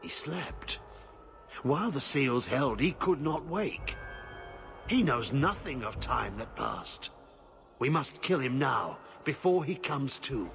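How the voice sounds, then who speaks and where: A man playing a grave, haunted veteran warrior in a fantasy video game, speaking in solemn, halting, terse pronouncements. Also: mid-range voice, lively intonation, fairly bright tone, faint music behind. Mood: urgent warning.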